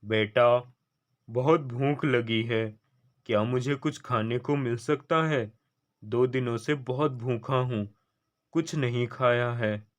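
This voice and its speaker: A man playing a gentle, benevolent old wanderer who speaks pleadingly in a cartoon fable. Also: medium pitch, slow, lightly acted. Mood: weary, pleading. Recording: slightly dull lo-fi studio track.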